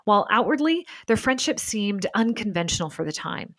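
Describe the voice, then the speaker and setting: A woman hosting and narrating a scripted documentary in a measured, articulate storytelling manner. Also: mid-range voice, sing-song, fairly bright tone, dry recording, clean studio sound. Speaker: podcast host and narrator.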